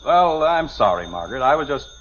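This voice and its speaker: Flustered, evasive middle-aged American dad, swooping pitch. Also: male voice, very animated, brisk, a bit bright. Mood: flustered, blustering. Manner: hesitant, stammering, blustering. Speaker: actor in a radio situation comedy. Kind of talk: old-time American radio comedy acting. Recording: muffled, noisy vintage radio recording.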